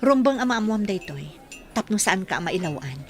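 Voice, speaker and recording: Woman acting an insistent Filipino stranger, medium pitch. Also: expressive, moderate pace, close to an everyday voice. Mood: warning. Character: insistent woman stranger giving a pointed warning. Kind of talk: radio drama acting.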